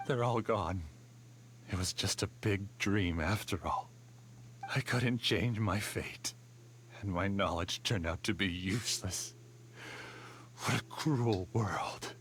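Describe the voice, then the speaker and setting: A man's voice: low voice, slow pace, fairly bright tone, slight background noise. A man voicing a bitter, scheming male dream master in a video game, brooding and defiant. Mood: despairing.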